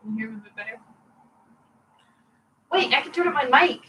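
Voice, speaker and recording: A woman's voice: high-pitched, lively intonation, brisk, sharp tone, echoey room. A woman playing in a tabletop game, chatting casually and fussing over the audio setup. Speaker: player. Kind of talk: casual chat. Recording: distant mic with noisy background.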